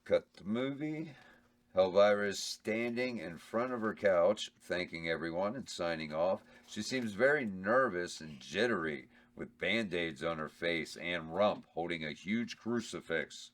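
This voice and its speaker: A man's voice: low-pitched, animated, a little slow, a bit bright. A man reading a comedy horror script's stage directions aloud in a matter-of-fact tone at a table read.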